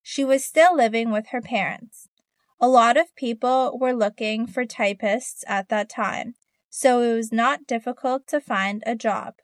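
A woman reads a short story passage for a language test. Her voice is fairly high and her reading clear and even. The sound is close and dry. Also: a little slow, no echo. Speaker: test narrator. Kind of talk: scripted narration.

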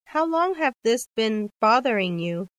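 A woman's voice: a little high, big pitch swings, unhurried, fairly bright tone, no echo. A woman carefully reading scripted dialogues and a passage for an English listening test. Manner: clear, careful textbook delivery.

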